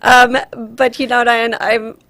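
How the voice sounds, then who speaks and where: South african lady guest on a show, gravelly fry, earnest, telling her story. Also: fairly high pitch, little pitch movement, measured pace, bright, forceful tone. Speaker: guest, relationship coach. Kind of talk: personal storytelling in an interview.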